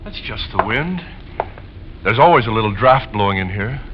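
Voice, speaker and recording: An actor playing a nervous, pleading, eerily obsessed widower with a halting, insinuating manner in an old-time radio suspense play. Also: male voice, on the low side, lively intonation, lightly acted. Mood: eerie, insinuating. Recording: muffled, noisy vintage radio recording.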